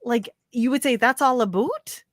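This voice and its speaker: A woman's voice: on the high side, moderate pace, no echo, clean studio sound. A woman co-hosting a casual live chat, bubbly and giggly, often trailing off mid-sentence.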